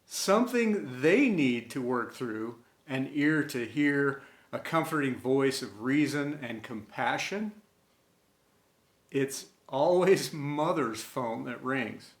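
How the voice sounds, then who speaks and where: A man reading a scripted inspirational tribute in an earnest, sentimental way, with deliberate dramatic pauses between short phrases. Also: medium pitch. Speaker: storyteller and host of an inspirational series. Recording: roomy, echoey sound.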